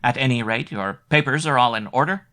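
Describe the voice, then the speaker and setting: A man's voice: medium pitch, a little fast, sharp tone, pitched above the performer's own voice, lightly acted. A man voicing a gloomy, uneasy musician friend in a storybook reading. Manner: uneasy, pessimistic. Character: gloomy male bass player, a worried companion.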